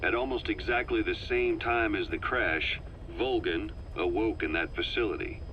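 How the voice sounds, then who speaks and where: An actor playing a wary, brooding veteran intelligence operative, giving briefings in a measured, grave manner for a game. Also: male voice, lowish voice, lo-fi sound, slight background noise, lightly acted. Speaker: briefing officer. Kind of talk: dramatic game briefing dialogue.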